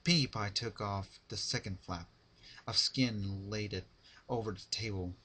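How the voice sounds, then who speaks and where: A man narrating fan fiction as a dramatic reading, with deliberate phrasing. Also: on the low side, sharp tone, husky.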